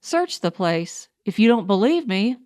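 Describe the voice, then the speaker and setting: Clear-voiced, shrewd woman prospector, read by a narrator. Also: medium pitch, very animated, unhurried, darkish tone, no echo, studio quality. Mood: composed. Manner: composed, wry. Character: self-possessed female Mars prospector, shrewd and defiant. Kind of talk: audiobook character voice.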